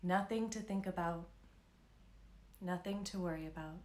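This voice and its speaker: A woman leading a live guided meditation as an instructor, speaking in a calm, soothing, unhurried way with long pauses. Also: fairly low pitch, echoey room.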